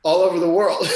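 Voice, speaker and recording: Man with a highish voice, echoey room. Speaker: series creator. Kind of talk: casual interview talk.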